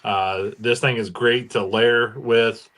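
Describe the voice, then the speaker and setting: A man's voice: medium pitch, fairly even intonation, takes it slowly, a bit bright. An American man chatting casually as a guest on a podcast. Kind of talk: casual chat.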